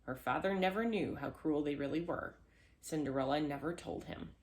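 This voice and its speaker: A woman's voice: deep voice, normal speed, darkish tone. A woman reading a children's storybook aloud as a storyteller, now and then stumbling and repeating a word. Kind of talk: scripted children's storybook narration.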